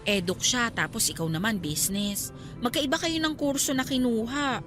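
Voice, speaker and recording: A woman's voice: on the high side, normal speed, music in the background, lightly acted. A woman playing a guarded, skeptical young single teacher in a Tagalog radio drama, talking in a conversational way. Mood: bashful, polite.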